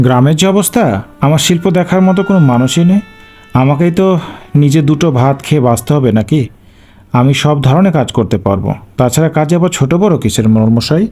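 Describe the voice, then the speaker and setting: A man acting an earnest young villager, slightly high-pitched mellow voice. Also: lively intonation, fast, soft background music, lightly acted. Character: kind, hard-up young village man, a bird-call entertainer. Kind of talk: cartoon story voice acting.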